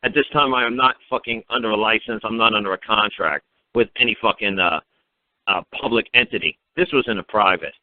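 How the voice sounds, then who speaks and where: A man's voice: fairly low pitch, fairly quick, no echo. A man ranting informal advice on a phone-in talk, blunt and emphatic, swearing freely and firing off mocking rhetorical questions. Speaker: talk show speaker advising a caller. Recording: narrow-band internet radio call.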